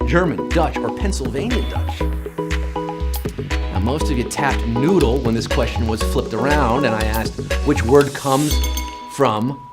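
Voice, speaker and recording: A man's voice: sits high, fairly bright tone. A man hosting a live trivia game show, reading questions and joking playfully between answers. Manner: playful, punning, fast-patter quiz patter. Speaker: quiz show host.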